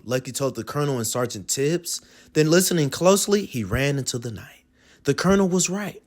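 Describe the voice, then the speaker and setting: A man hosts a storybook read-aloud for children. His voice sits high for a man and moves around a great deal. He tells the tale expressively, with plenty of drama.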